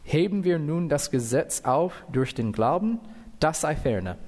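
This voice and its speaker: An American man whose first language is English, preaching a sermon and reading scripture, careful and deliberate, with fillers and self-corrections. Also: on the high side, animated, a little mellow. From United States. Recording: long hall reverb.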